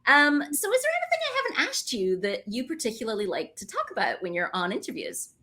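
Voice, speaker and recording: A woman's voice: a little high, huge pitch swings, quick pace, bright, forceful tone. A woman hosting a conversational podcast interview, effusive and encouraging with her guest.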